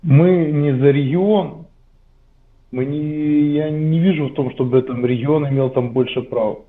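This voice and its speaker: A man's voice: a little high, unhurried. A man in his forties in a casual debate, arguing his point insistently over a headset in a small room. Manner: insistent, explaining. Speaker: video chat guest. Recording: tinny lo-fi video call.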